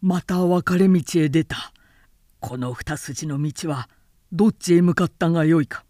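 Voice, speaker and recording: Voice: mid-range voice, a little slow, warm tone, no room sound, clean studio sound, close to an everyday voice. A woman voicing a proud, cunning male warlord in an audiobook, with declamatory, archaic, commanding speech. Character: Cao Cao, proud cunning warlord, man, mocking.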